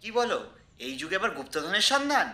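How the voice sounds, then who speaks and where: Casting: man as curious, eager sidekick, high quick voice, West Bengal Bengali accent, amateur web drama. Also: sing-song, sharp tone, roomy sound, heavily acted.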